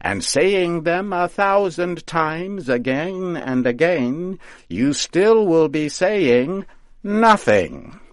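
A man voicing an old witch woman, gleeful and commanding, with a high, loud, drawn-out delivery. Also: expressive, no room sound.

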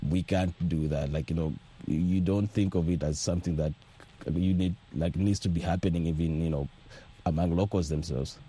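A man's voice: low-pitched, fairly even intonation, moderate pace, dark tone, breathy edge. A man answering casually as a studio interview guest, hesitant and heavy on filler words. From rural Malawi. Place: radio studio.

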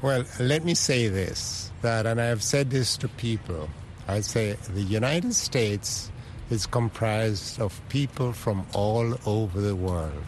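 A man from Jamaica with a fairly low voice gives measured interview answers. He phrases things earnestly and deliberately, with some halts. Faint outdoor urban noise sits behind him. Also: rough recording. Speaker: interviewed sports fan.

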